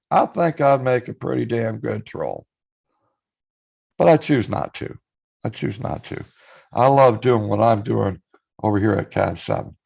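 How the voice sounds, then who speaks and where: A man with a hoarse voice, chatting casually on his live stream, greeting viewers by name and reading out their messages. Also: a little high, a little mellow.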